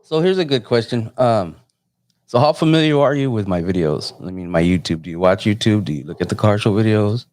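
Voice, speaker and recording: A low-voiced man in his forties hosts a live interview and talks in an easygoing, rambling way. He comes from San Jose, California. His voice is dry and close, with no room sound. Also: expressive, brisk, a little mellow, no room sound. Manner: laid-back, meandering. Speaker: host.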